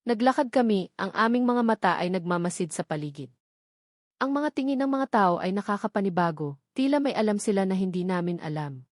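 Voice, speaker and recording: A woman's voice: mid-range voice, animated, fairly quick, dry recording. Woman narrating a dramatic story, dry sound. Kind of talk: scripted first-person drama narration.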